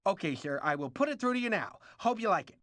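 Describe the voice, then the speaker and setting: A man's voice: high voice, very animated, noisy background. A man voicing a slick, frantic cartoon casting director with a theatrical, easily exasperated manner, for a video game. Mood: nervous, deferential. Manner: theatrical, exasperated, fast-switching boss.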